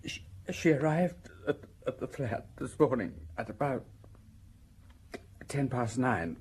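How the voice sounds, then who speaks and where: An actor playing a shocked male witness in a radio drama, stammering and halting as he struggles for composure. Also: medium pitch, lively intonation, darkish tone, slightly rough, exaggerated voice. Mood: shaken, halting.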